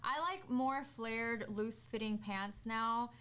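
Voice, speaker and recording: A woman's voice: on the high side, slow pace, pushed and bright. Young woman chatting casually on a podcast. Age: 20s. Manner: informal, candid, peppers talk with "like". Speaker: podcast co-host.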